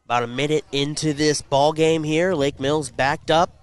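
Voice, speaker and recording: A man calling high school football play-by-play for radio, congested from a cold and picking up energy during plays. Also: normal speed, smooth, no echo. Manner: rising excitement on plays. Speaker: play-by-play announcer. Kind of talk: radio sports commentary.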